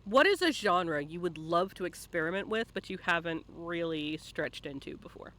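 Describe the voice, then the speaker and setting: A man's voice: a little high, expressive, moderate pace, bright, forceful tone. A man hosting a casual live-streamed interview in an informal, joking way. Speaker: interviewer and host.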